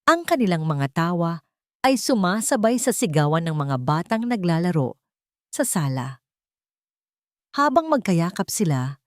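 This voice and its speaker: A woman narrating a scripted story in an earnest, sentimental, measured way. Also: lowish voice, darkish tone, dry recording, clean studio sound.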